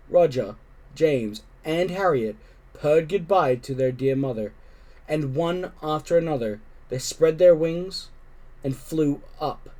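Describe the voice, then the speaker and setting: Mellow, higher-pitched male storybook reader. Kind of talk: children's story read-aloud.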